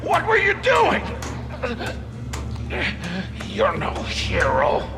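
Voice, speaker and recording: Wounded male victim apparition, video game; high, very gravelly, accusing and anguished. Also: wildly swooping pitch, extremely slow, heavily acted. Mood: bitter reproach. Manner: reproachful, anguished. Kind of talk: video game hallucination scene acting. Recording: music behind the voice.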